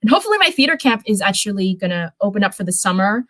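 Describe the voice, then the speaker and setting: A woman's voice: medium pitch, very animated, very fast, fairly bright tone. Young woman reading and chatting casually. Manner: rambling, informal, frequent self-corrections. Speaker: livestream host reading fan fiction. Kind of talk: casual livestream chat and story read-aloud.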